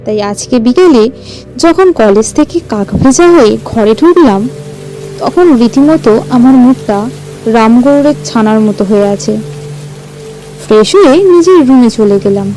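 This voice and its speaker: A woman narrating a first-person story in a suspenseful, expressive, theatrical way. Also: fairly high pitch, normal speed, rough recording. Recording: music bed under the voice.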